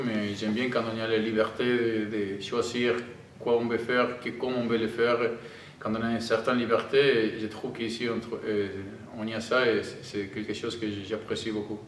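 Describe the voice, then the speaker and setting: A man with an Argentine Spanish accent, speaking halting non-native French as a rugby player answering press questions. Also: on the low side, flat delivery. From Argentina (Tucumán, in the north). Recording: roomy, distant microphone, audible background noise.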